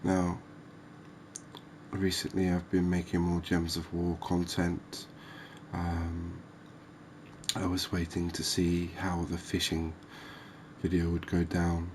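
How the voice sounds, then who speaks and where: A man giving soft-spoken ASMR game commentary as the host, in a gentle, unhurried, hesitant way. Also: sits low, completely flat delivery, a little hiss or hum. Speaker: gaming video host.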